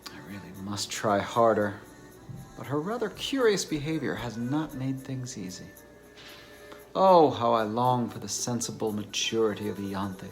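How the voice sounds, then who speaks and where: A man's voice: on the low side, lively intonation, unhurried, faint music behind. A man playing a courteous, awkward academic bachelor with polite, wordy phrasing in an amateur dramatized novel reading. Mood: private musing. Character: courteous, awkward English academic bachelor, privately exasperated in romance.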